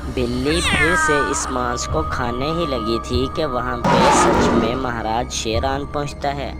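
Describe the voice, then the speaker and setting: A woman telling a children's story as narrator in a calm, scripted way. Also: extremely low pitch, unhurried, loud music behind the voice.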